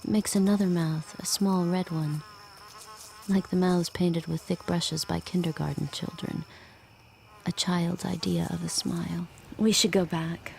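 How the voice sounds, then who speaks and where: A woman playing a subdued, watchful handmaid in an audio drama, narrating her thoughts in a measured, hushed, introspective way. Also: fairly low pitch, steady tone, creaky, a little hiss or hum, rough recording. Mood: guarded, pensive. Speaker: lead actor and first-person narrator. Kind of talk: audio drama, intimate literary narration and dialogue. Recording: music under the voice.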